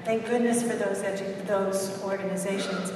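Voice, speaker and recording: An older woman with an American accent answering questions at a public talk in an earnest, halting way. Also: medium pitch, fairly even intonation. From United States. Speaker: guest speaker. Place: large echoing indoor hall. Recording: echoey hall, distant mic, noisy.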